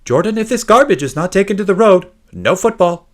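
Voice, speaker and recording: A man's voice: high-pitched, animated, a little fast, pitched above the performer's own voice. A man voicing a stern, exasperated mother who lays down rules in an emphatic, scolding way.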